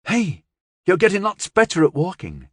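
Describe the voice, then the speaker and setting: An excitable young girl with a raised, bright-sounding voice and a lively, bouncing pitch, as a man puts her on. She talks eagerly and quickly, recorded dry and close. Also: no room sound, full character voice.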